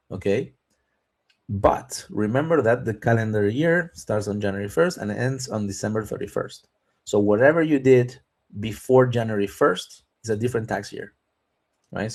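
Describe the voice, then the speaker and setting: Man hosting a relaxed webinar. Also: lowish voice. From Argentina. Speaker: webinar host answering viewer questions. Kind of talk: live webinar Q&A on visas and taxes.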